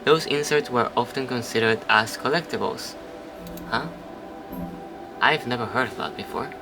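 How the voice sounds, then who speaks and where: A man's voice: medium pitch, moderate pace, over music. A man casually playing a story game, reading its narration aloud and chatting between lines, now and then swearing. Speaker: let's play host.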